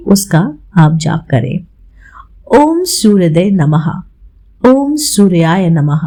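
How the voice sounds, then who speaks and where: Husky, mellow woman explaining devotional rituals. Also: mid-range voice. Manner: addresses listeners as friends, instructive. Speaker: host of a devotional channel. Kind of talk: devotional explainer narration with storytelling.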